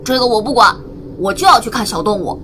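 A woman's voice: fairly high pitch, lively intonation, quick pace, lightly acted. A woman voicing a petulant, demanding little boy in a science fiction radio drama. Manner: demanding, defiant. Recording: faint background noise, vehicle ambience.

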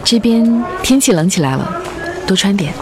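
A polite, hesitant woman played by an actress in a radio drama, mid-pitched. She talks like an old acquaintance, with clear public background noise around her. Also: lively intonation, moderate pace, lightly acted. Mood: awkward, polite. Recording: noisy public ambience behind.

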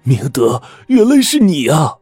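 A man voicing an emotional, wealthy old man who talks in a high, gushing, overly warm way. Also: animated, normal speed, no echo, soft background music. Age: older.

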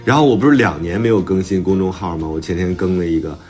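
一位男性脱口秀主播，轻松随意地聊天，爱讲自己的小故事和吐槽。. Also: male voice, sits low, quick pace, darkish tone, soft background music. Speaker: podcast co-host and author. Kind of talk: casual banter on a talk show.

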